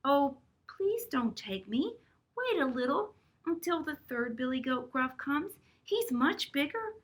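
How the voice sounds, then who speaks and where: A woman's voice: sits high, big pitch swings, measured pace, pitched above the performer's own voice. A woman voicing the second billy goat in a storybook, a pleading voice that is not so small.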